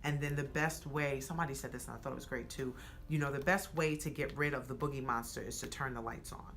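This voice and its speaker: Woman, American, low pitch, bright tone, emphatic casual talk, quotes people, faint background noise. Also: fast talker, vocal fry. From United States. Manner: animated, emphatic, rising to excited peaks; acts out quoted speech. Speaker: podcast co-host. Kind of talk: casual conversation.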